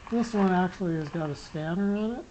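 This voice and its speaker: A hobbyist talks through a radio setup in a casual, unscripted way. The voice sits at a middling pitch, and the explanations ramble and halt. Clear background noise and clicks run through the recording. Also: brisk, warm tone, rough recording. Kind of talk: casual unscripted gear demo.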